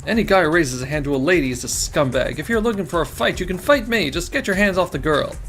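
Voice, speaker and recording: Man doing a brash male reporter, taunting, loud, raised voice, game character. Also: fairly high pitch, expressive, fast, faint music behind, pitched above the performer's own voice. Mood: defiant.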